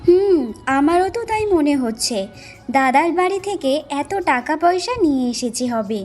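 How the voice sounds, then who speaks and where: High-pitched envious village wife, acted by a woman. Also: expressive, moderate pace, darkish tone, faint music behind. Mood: jealous. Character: envious, greedy younger village wife, curt and self-serving. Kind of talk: Bengali fairy-tale cartoon dubbing.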